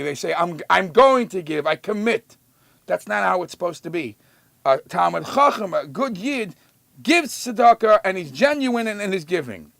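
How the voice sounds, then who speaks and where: A man teaches like a rabbi. His voice sits high and has a strong gravelly creak, captured close and dry. He explains scripture emphatically, drawing out quoted verses before talking them through conversationally. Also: fairly bright tone, no echo. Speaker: rabbi giving a Torah lesson.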